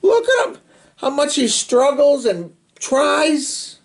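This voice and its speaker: A man reads a children's picture book aloud as the host. His pitch swings widely and expressively. He breaks from the narration for playful, casual asides to his young listeners. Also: big pitch swings, slow pace, gravelly, slight background noise. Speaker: storybook reader, host of a read-aloud show.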